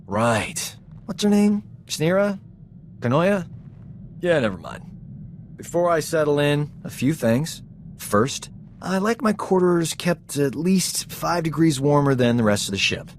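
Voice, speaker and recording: Breezy, cocky space doctor. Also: male voice, a little high, big pitch swings, unhurried, a little hiss or hum. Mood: flippant. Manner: breezy, teasing and demanding. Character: cocky male field doctor, vain and flippant. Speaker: ship's medic. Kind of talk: video game voice acting.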